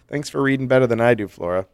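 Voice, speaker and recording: A man's voice: medium pitch, vocal fry, no room sound. A man co-hosting a comedy podcast, reading listener mail aloud in a casual, joking way and laughing often. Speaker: podcast co-host.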